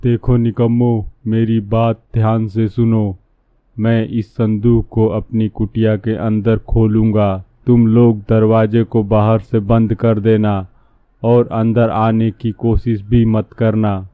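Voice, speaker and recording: Cartoon villain, male actor: scheming bossy fake holy man, lowish voice, narrow muffled recording. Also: little pitch movement, no room sound. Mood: bossy, scolding. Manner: commanding, slow, scolding. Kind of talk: Hindi cartoon storybook acting. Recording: muffled, phone-like narrow-band sound.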